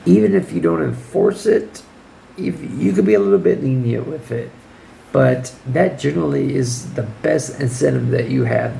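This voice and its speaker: Hoarse, gravelly-voiced man giving teaching advice. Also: mid-range voice, mellow, a little hiss or hum, low-quality recording. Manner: informal, firm, checks in with "okay?". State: hoarse, losing his voice. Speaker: English teacher. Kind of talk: casual advice vlog.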